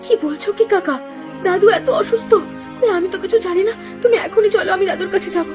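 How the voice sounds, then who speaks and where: Woman playing a high-voiced devoted village girl. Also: quick pace, over music. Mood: anxious, pleading. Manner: emotional, heartfelt. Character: devoted, kind-hearted young village granddaughter. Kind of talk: cartoon dialogue acting.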